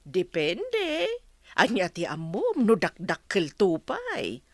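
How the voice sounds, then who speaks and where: A sly, bossy woman with an Ilocano accent, her pitch swinging dramatically in a very dry, close sound. She gives orders like a schemer in a radio comedy-drama. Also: wildly swooping pitch, slow, no room sound, exaggerated voice. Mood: coaxing, instructing. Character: secretive, bossy best friend handing out shady deliveries.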